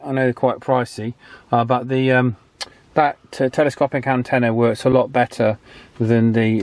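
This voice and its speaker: A man's voice: mid-range voice, darkish tone, no echo. Chatty man, casual hobby vlogger. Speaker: host of a radio repair and testing channel. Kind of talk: casual vlog commentary.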